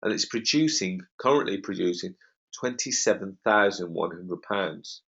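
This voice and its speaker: Croaky man hosting a webinar. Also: low-pitched, lively intonation, normal speed, fairly bright tone. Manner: confident, salesy, emphatic. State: slightly croaky. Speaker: webinar host. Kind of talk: online property webinar talk. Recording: dull lo-fi web call audio.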